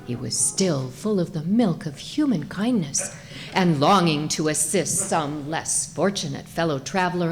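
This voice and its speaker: A woman reading a comic short story aloud as its narrator, in a scripted storytelling style. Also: fairly low pitch.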